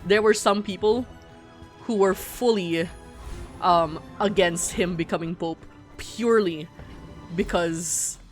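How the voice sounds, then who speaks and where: A woman's voice: lowish voice, slow, pauses often. Chatty woman streamer with Filipino English accent. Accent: middle-class Filipino English. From Philippines. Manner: talkative, self-interrupting, playful. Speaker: live game streamer. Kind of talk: casual chat to viewers while gaming. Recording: music playing behind the voice. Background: game music.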